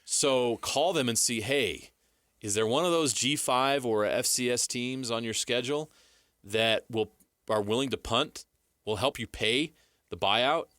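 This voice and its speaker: A man's voice: mid-range voice, measured pace, a bit bright, no room sound. An American man hosting a sports talk show, discussing and arguing with emphasis and rhetorical questions.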